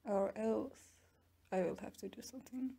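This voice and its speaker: A woman playing a smug, taunting tsundere captor in an ASMR roleplay, mocking and teasing with drawn-out pauses. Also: medium pitch, creaky, mellow, breathy edge. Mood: grudging, threatening. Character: smug, taunting tsundere young woman keeping a captive werewolf.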